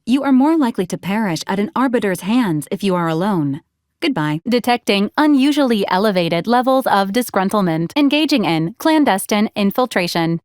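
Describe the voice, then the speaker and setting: A woman voicing an officious, literal-minded robot companion in a video game, speaking in clipped, formal, deadpan announcements. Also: medium pitch, no room sound, clean studio sound, close to an everyday voice. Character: female-voiced hovering robot companion, clinical, officious, dryly literal. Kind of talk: scripted video game character lines.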